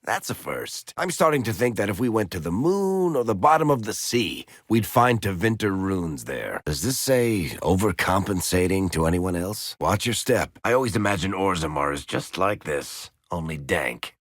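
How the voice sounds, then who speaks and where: A man's voice: deep voice, big pitch swings, moderate pace, hardly any pauses, no echo. A man voicing a wry dwarf storyteller and rogue in a video game, full of dry, sardonic quips. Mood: wry complaint. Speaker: video game companion character.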